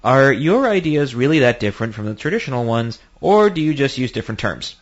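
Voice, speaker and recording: A man's voice: medium pitch, big pitch swings, a little fast, highs cut off. A man co-hosting a paranormal podcast in casual chat, joking lightly and reading out listener questions.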